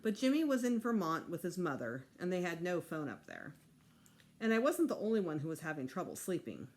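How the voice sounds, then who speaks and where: Expressive woman reading a storybook aloud. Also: on the low side, fairly quick. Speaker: teacher reading aloud to students.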